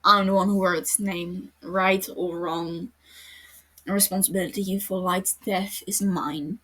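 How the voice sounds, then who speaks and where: A man's voice: high-pitched, fairly even intonation, measured pace, bright, forceful tone, exaggerated voice. A woman voicing a cool, aloof genius detective. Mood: detached.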